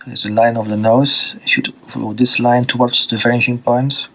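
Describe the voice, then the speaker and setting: A man from Belgium with a Dutch accent, teaching a drawing lesson off the cuff, pausing often with fillers and restarts while he draws. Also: medium pitch, little pitch movement, vocal fry, a bit bright, rough recording. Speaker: art teacher. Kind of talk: unscripted drawing tutorial.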